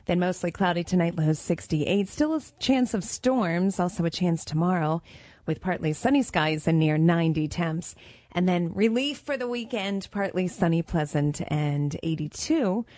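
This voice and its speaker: A woman reading the weather on a radio morning show in brisk, clipped phrases. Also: fairly low pitch, a little mellow, no echo. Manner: brisk, clipped list delivery. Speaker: weather reader.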